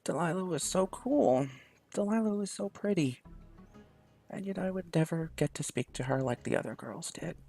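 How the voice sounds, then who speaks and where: A man narrating a visual novel aloud in a measured, storytelling way. His voice is gravelly with a creaky rasp. Faint music runs softly underneath. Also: high voice, animated. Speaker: game streamer.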